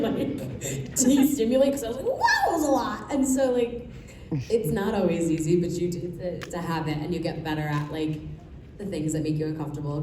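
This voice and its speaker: A woman voice actor with a raspy voice, chatting loosely on a convention panel and laughing between thoughts. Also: lowish voice. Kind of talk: casual panel Q&A. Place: large convention hall, indoors. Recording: echoey noisy convention hall.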